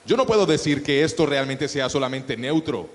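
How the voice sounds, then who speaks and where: A man's voice: medium pitch, animated, moderate pace. A man with a Cali Colombian accent explaining points on a panel, often checking in with his listeners. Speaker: dubbing panelist. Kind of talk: panel discussion. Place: large event hall. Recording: long hall reverb, faint background noise.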